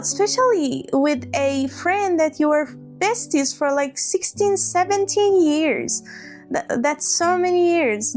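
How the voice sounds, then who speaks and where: A woman telling a personal story to camera, hurt and hesitant, often restarting her words. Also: sits high. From Iran. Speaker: vlogger.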